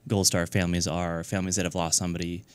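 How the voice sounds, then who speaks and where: Man, 30s, from New Hampshire. Low, smooth voice; earnest interview guest who restarts often. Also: flat delivery, no room sound. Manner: earnest, halting, many fillers and restarts. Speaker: guest, nonprofit founder. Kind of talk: casual radio interview.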